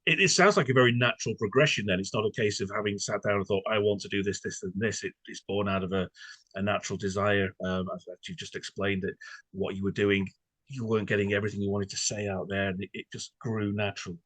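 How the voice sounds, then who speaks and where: A man's voice: lowish voice, steady tone, quick pace, a bit bright, dry recording, poor audio. A man hosting a conversational interview, asking wordy, tentative questions in an appreciative way. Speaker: podcast host, interviewer.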